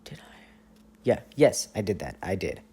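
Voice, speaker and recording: A man playing an affectionate, playful boyfriend on a long-distance phone call, in intimate, casual ASMR roleplay with gentle teasing and hesitant pauses. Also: deep voice, very animated, slightly rough, heavily acted. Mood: tender, teasing. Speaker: audio roleplay performer.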